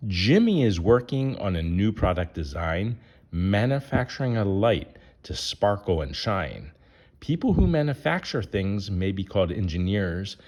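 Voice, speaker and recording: A man's voice: fairly low pitch, sing-song, unhurried, darkish tone. A man reading a rhyming children's picture book aloud in a sing-song way, putting questions to young listeners.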